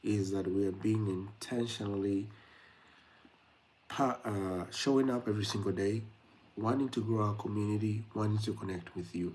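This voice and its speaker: A man's voice: on the low side, a little mellow, poor audio. A young man from Kenya hosting a late-night livestream, chatting directly to his viewers with frequent fillers. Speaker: YouTube livestream host. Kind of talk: casual livestream talk to viewers.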